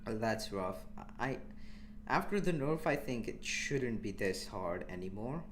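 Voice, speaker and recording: A young man from India commentating his live game stream in a relaxed, chatty way, answering chat as he plays. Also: fairly low pitch, a little slow, faint music behind. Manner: relaxed, chatty, with frequent restarts and small laughs.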